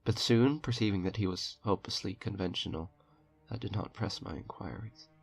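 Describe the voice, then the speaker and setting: Deep, gravelly man reading a horror tale. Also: fairly quick. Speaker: narrator reading a horror short story. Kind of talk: dramatic audiobook-style narration. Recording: music under the voice. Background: eerie background music.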